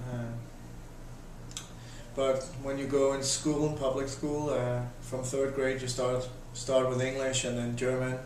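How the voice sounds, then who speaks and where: A man in his thirties with a Danish accent, answering interview questions in a halting, casual way with many restarts. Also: mid-range voice, level tone, darkish tone, a little hiss or hum. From Denmark. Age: 30s. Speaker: interviewee, volunteer volleyball coach. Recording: echoey room, voice from a distance.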